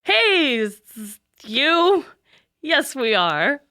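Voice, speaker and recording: A woman playing a serious, socially awkward teenage girl hunter in an audio drama, earnest, brisk and bossy. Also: sits high, big pitch swings, bright, forceful tone, dry recording, studio quality, exaggerated voice.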